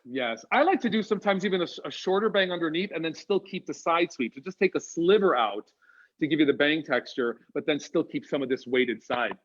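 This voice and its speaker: A man's voice: on the high side, lively intonation, a little fast, highs cut off. A man with an American accent co-hosting a casual live wig demo over a video call, chatty and upbeat, greeting viewers by name.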